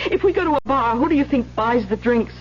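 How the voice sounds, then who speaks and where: Actress as a high-voiced, bitter, weepy barfly woman. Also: animated, a little fast, natural voice. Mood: bitter complaining. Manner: self-pitying, slangy, blunt. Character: bitter, weepy, hard-drinking woman stuck with bad men. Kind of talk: old-time radio drama acting. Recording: noisy, muffled vintage radio recording.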